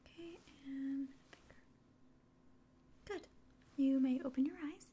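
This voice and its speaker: A woman in her twenties whispering softly and breathily in a gentle medical roleplay, giving slow instructions up close. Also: high-pitched, slight background noise. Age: 20s. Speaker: ASMR performer playing an alien doctor giving an exam. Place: small room indoors.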